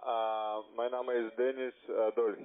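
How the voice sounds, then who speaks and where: A man's voice: medium pitch, flat delivery, measured pace. Male phone sales agent, choppy and unsure, non-native wording, narrow telephone audio. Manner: hesitant, choppy, non-native phrasing in German. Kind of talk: halting sales pitch. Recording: thin narrow-band phone line.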